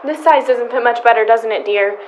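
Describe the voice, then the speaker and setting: A woman's voice: fairly high pitch, a little fast, darkish tone, slight background noise, natural voice. A woman playing a genteel, fussy, motherly shopper in radio comedy style. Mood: alarmed, dismayed. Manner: motherly, chatty. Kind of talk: old-time radio comedy acting.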